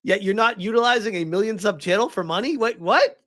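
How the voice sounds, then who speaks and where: A man's voice: high voice, brisk, sharp tone. A man doing casual live reaction commentary in an incredulous, mocking and emphatic way.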